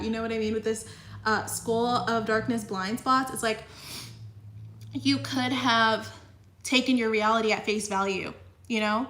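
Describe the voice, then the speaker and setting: A woman's voice: fairly high pitch, fairly bright tone, echoey room. A young woman giving a casual tarot reading, talking in a chatty way full of fillers and a little sniffly. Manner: chatty, filler-heavy with frequent "like" and "you know". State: slightly sniffly. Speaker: tarot reader.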